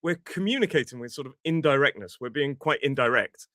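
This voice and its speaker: A man's voice: mid-range voice, animated, a little fast, sharp tone, no room sound. British english teacher guy chatting on a podcast, explains stuff, voice jumps around a lot, dry close sound. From Britain. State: toothache. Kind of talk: conversational podcast chat.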